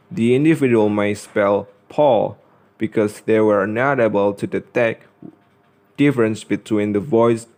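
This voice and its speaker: A young man reads a scripted lesson as a student presenter, carefully and haltingly, pausing in the middle of phrases. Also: fairly low pitch, a little mellow. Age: young adult.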